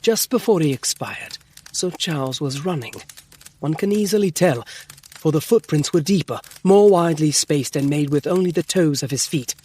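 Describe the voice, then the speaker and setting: An earnest Victorian gentleman doctor with a fairly high voice. He is excitable and formal, leaning hard on his words like a dramatic storyteller in an audio drama. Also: normal speed. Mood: excited. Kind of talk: full-cast audio drama acting.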